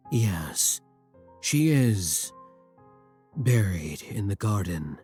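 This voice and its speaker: Male actor as brooding vampire king, very slow delivery, husky, intimate, courtly and devoted, ASMR roleplay, faint music behind. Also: lowish voice. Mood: somber, bitter. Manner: courtly, soft-spoken, formal endearments, hesitant pauses. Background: soft music.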